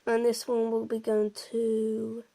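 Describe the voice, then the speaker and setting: Slow, even-toned female game commentator. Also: on the high side, creaky. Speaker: presenter of a game walkthrough. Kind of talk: casual gameplay commentary.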